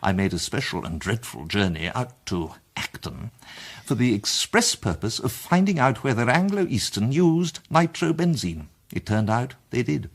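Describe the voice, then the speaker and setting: Animated, slightly husky British detective. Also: male voice, mid-range voice. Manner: deliberate, emphatic, theatrical. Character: confident amateur male detective laying out his case. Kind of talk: British radio drama acting.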